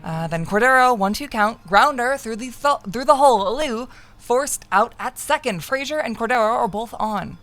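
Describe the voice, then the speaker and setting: A woman's voice: a little high, lively intonation, normal speed, fairly bright tone, faint music behind. A woman playing a young baseball fan in a visual novel, calling plays in an emphatic, clipped, projected delivery. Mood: animated announcing. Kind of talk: play-by-play commentary in visual novel dialogue.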